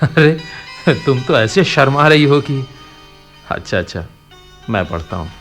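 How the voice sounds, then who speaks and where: An actor playing a kindly, middle-aged father figure in a Hindi radio play, speaking in a gentle, coaxing, philosophical way. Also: medium pitch, big pitch swings, unhurried, gravelly, soft background music, heavily acted. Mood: playful.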